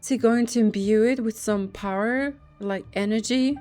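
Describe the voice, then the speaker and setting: A woman's voice: on the high side, normal speed, a little mellow. A woman streamer giving casual gameplay reactions, musing aloud and often trailing off mid-thought. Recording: faint game music behind.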